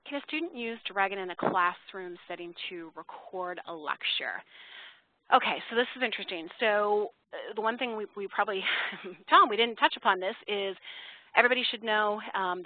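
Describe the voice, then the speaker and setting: A woman presenting a webinar question-and-answer session over a phone line, speaking politely and informally with a halting, hesitant delivery. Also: fairly low pitch, dry recording. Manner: polite, formal address to audience. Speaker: webinar presenter for a software company.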